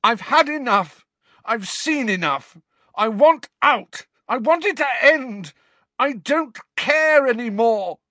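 Raging grief-stricken teenage boy, played by a man, loud and high, voice shaking, audiobook. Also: expressive, slow pace, slightly rough, dry recording. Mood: furious. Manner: shouting, voice shaking with rage.

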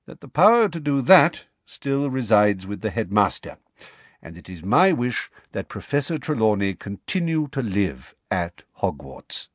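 A man playing an old headmaster with a deep, calm and courteous manner. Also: a little slow, no echo.

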